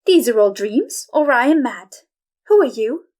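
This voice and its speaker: Actress as high-voiced, frightened, defiant young wife. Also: female voice, expressive, moderate pace, a little mellow. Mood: fearful, demanding. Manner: declamatory, poetic.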